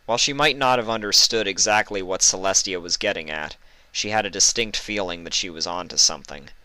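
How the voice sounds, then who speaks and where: A man's voice: low voice, fairly quick, pushed and bright, clean tone, dry recording. A man reading a scripted story aloud as a fan fiction narrator, close to the microphone.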